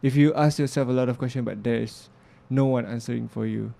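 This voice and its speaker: Young male interview guest; gravelly, mellow-toned, earnest, stops and restarts mid-thought. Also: medium pitch, fairly even intonation. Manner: reflective, earnest, halting with restarts and fillers. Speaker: interview guest, filmmaker and music producer. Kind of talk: casual interview talk.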